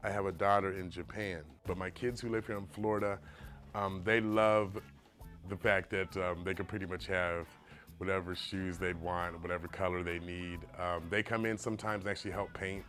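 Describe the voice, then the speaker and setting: Deep-voiced man with soft music behind. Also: normal speed. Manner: relaxed, rambling with many fillers. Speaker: shoe painter. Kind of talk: documentary interview.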